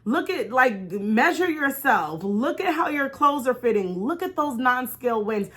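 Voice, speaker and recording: A woman's voice: high-pitched, big pitch swings, a little slow, a bit bright. An American woman vlogging in a candid, casual storytime style, talking in an emphatic, encouraging, conversational way. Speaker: vlog host.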